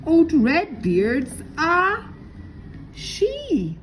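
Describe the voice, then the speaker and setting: A woman voicing a proud old male pirate who exclaims loudly in a picture-book reading. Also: very high-pitched, wildly swooping pitch, drawn-out words, slight background noise, pitched above the performer's own voice, exaggerated voice. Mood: astonished. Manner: loud, exclaiming. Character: proud battling old peg-legged pirate captain, male.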